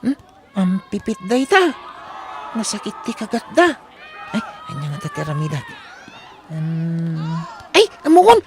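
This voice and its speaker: A woman's voice: on the low side, wildly swooping pitch, unhurried, long pauses, crowd noise, noisy background. A woman voicing a mischievous young schoolboy prankster with a playful manner and an Ilocano accent in a comedy radio drama. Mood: scheming.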